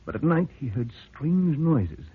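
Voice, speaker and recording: An actor playing an anxious, doubtful husband in a vintage radio drama, confiding in an earnest, hesitant way. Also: male voice, on the high side, sing-song, unhurried, breathy edge, no room sound, heavily acted. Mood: troubled, hesitant. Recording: dry, tinny old radio recording.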